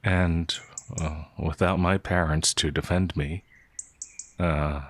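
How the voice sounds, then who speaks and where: A man playing a gentle, naive young peasant werewolf, hesitant and easily flustered, in a fantasy audio roleplay. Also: low-pitched, steady tone, takes it slowly, no echo, exaggerated voice. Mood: shy, gentle.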